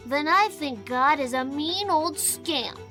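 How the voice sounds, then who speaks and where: A woman's voice: high-pitched, animated, takes it slowly, bright, forceful tone, soft background music, exaggerated voice. A woman voicing a mischievous, hot-tempered young boy in a blustering, folksy way for an audio storybook. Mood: indignant. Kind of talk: audio storybook character acting.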